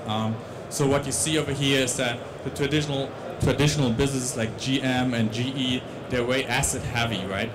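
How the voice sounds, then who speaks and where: Young dutch guy presenting at a conference, stops and restarts a lot, mid pitch, loud hiss behind. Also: fairly even intonation, fairly quick, hardly any pauses. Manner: hesitant, many restarts and fillers. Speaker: conference speaker. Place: large conference stage. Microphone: handheld mic.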